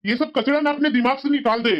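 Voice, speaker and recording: A dull, lo-fi track carries a woman acting as an irritable cartoon father who resents his daughters. The voice is fairly high, grumbling and evasive, as in a family moral story. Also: fast, natural voice. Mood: irritated.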